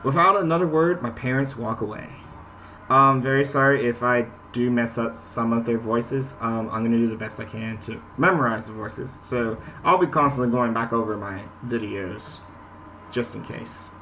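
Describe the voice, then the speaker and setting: A man's voice: mid-range voice, normal speed, sharp tone, slight background noise, low-quality recording. A man hosting a gaming video, chatting casually and reading game text aloud. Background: game soundtrack music.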